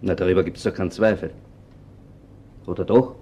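Dry, low-voiced Austrian detective. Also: male voice, steady tone, normal speed, dark tone, highs cut off. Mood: dry, matter-of-fact. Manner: dry, matter-of-fact, sceptical. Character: blunt, sceptical male police detective. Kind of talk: crime radio play acting.

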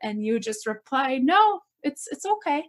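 A woman's voice: high voice, sing-song, unhurried. A woman hosting a podcast, introducing and interviewing a guest.

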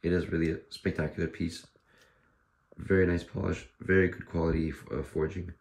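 Man, hoarse and losing his voice, deep gravelly tone, halting casual show-and-tell. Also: flat delivery, darkish tone. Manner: halting, with many fillers and restarts. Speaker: sword dealer presenting a katana.

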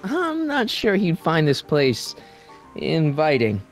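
An actor playing an earnest, quick-witted teenage boy with an American accent and a casual, slangy delivery in a video game. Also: on the high side, huge pitch swings, soft background music, exaggerated voice. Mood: eager, casual.

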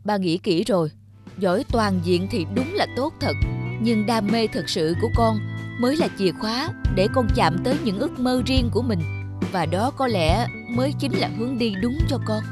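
A man playing a stern, proud middle-aged police officer father in an animated drama, with an emphatic, lecturing manner. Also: high voice, moderate pace, over music. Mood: gentle. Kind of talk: animated moral drama acting.

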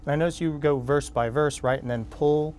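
A man hosting a television interview, asking questions in a measured, inquisitive way from a studio set. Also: fairly high pitch, steady tone, darkish tone, slight background noise. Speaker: television show host, interviewer. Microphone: lapel mic.

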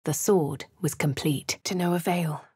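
A composed young adventurer with an upper-class English accent. She narrates her own deeds in a measured, clipped manner, slightly low in pitch. The studio sound is dry and close. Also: fairly even intonation, mellow, slightly rough, dry recording, heavily acted. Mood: calm narration. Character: posh young adult woman adventurer, composed. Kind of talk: game character narrating her actions.

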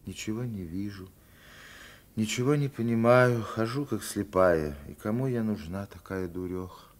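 A man voicing a naive, persistent village girl in a radio story, soft, drawn-out and plaintive. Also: on the low side, lightly acted. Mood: wistful, self-mocking.

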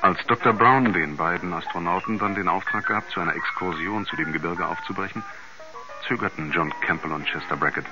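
A man acting a sober narrator and confidant in a 1970s radio drama, in measured, articulate storytelling. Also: low voice, loud music behind the voice, lightly acted. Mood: sober, grave. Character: sober male narrator, astronaut's concerned confidant. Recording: narrow-band 1970s radio play recording.

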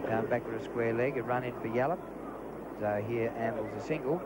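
A man calling ball-by-ball cricket commentary, lively and rising on big moments. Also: medium pitch, fairly quick. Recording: noisy old broadcast tape.

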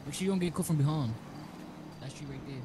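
A man speaks very rapidly in casual game chatter. His tone is mellow, heard over voice chat with a little noise behind it. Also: fairly high pitch. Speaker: fellow role-play gamer.

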